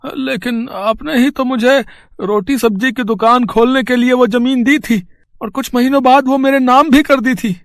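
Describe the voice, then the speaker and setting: Man acting a high-voiced, humble poor villager. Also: moderate pace, no echo. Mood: pleading. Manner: polite, hesitant, pleading. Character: humble, earnest poor village food seller. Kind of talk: acted storybook dialogue.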